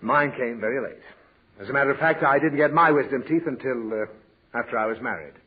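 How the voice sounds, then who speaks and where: A man's voice: mid-range voice, a little fast, lightly acted. An actor playing a sentimental, easily flustered father who lectures his daughter in a 1940s radio comedy. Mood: fussy. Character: patient, fussy father of a naughty girl. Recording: tinny old radio broadcast with faint hiss.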